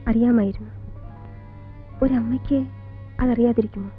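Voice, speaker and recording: Actress as a measured, high-voiced middle-aged Malayali woman teacher. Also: steady tone, natural voice. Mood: guarded, terse. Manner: measured, deliberate, pausing between short phrases. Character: composed middle-aged woman college teacher under questioning. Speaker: actor in a film. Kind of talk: film drama acting. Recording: tinny band-limited old film soundtrack, music behind.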